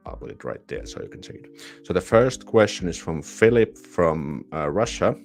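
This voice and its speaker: A man with a Finnish accent hosts a live stream, his voice sitting very deep. He rambles casually and pokes fun at himself, restarting half-said words. Soft music plays faintly behind him. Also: big pitch swings, moderate pace, vocal fry. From Finland. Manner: rambling, chatty, self-deprecating, with false starts. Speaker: YouTuber hosting a live Q&A.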